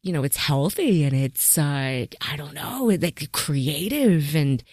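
A woman's voice: sits low, sing-song, slow pace, a little mellow, dry recording, studio quality. A woman hosting a casual podcast interview, enthusiastic and affirming toward her guest. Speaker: podcast host.

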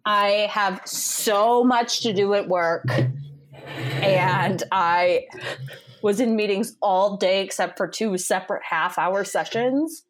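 A woman's voice: mid-range voice, slow pace. A young woman with a Southern American accent, co-hosting a casual chat podcast; she rambles, restarts and laughs often, and sounds keyed up. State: wound-up, nervous energy. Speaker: podcast co-host.

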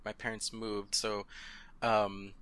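A man's voice: fairly low pitch, completely flat delivery, takes it slowly, fairly bright tone. A man in his thirties whose first language is Spanish, chatting as a podcast guest in a hesitant way, full of fillers and easy laughter. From Southern California. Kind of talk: casual interview chat.

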